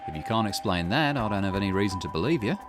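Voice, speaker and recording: A man's voice: fairly low pitch, lively intonation, fast, faint music behind, lightly acted. A man voicing a skeptical, plain-spoken private detective with a dry, questioning manner in a visual novel. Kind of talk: character voice reading visual novel dialogue.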